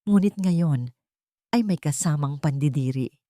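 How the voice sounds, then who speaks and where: A woman's voice: deep voice, animated, unhurried, warm tone, dry recording, studio quality. A woman narrator reading a dramatic romance story in a scripted storytelling style.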